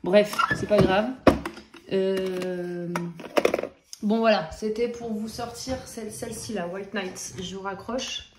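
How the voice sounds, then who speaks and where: A woman's voice: medium pitch, fairly even intonation, takes it slowly, noisy background. A French woman in her thirties with a cold, chatting casually in a home vlog and rambling with many fillers and restarts. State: has a cold. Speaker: coloring vlogger. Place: home office room.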